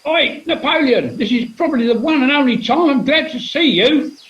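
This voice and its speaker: A man playing a brash, irritable character in a comic radio play, loud, blunt and mocking. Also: very high-pitched, normal speed, muffled recording, slight background noise. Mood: irritated. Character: brash, irritable, shouty civilian foe.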